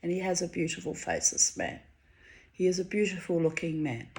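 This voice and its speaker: A Hungarian-born woman reading her own diary entries aloud, wry and theatrical, her voice swinging high and low for effect. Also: very animated, fairly quick. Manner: wry, theatrical, dramatic swings of pitch and loudness.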